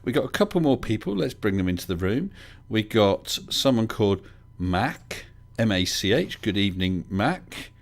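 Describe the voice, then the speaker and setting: Man, low voice, animated pitch, dry humour, livestream host. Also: moderate pace, hardly any pauses. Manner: wry, dry humour, brings callers in. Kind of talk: casual call-in chat.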